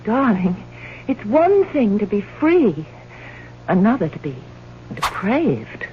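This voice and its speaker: An actress playing a devoted, easily wounded young fiancee in an old-time radio drama, speaking in an earnest, measured, melodramatic way. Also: female voice, medium pitch, very animated. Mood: concerned, accusing. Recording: muffled old radio broadcast with hiss.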